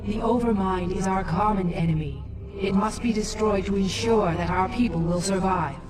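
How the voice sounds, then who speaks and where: A woman's voice: mid-range voice, normal speed. A woman playing an ancient, dignified alien matriarch in a video game, formal and solemn, with an echoing effect. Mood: solemn, commanding. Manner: formal, stately. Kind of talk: dramatic video game acting. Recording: echoey, distant, loud music behind.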